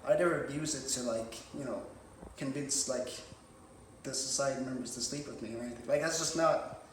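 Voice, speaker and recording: A man's voice: mid-range voice, close to an everyday voice. Guy playing defensive young society candidate, stammers a lot, far from the mic, bit of hiss. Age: young adult. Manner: stammering, halting, over-explaining. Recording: echoey room, distant mic, faint noise.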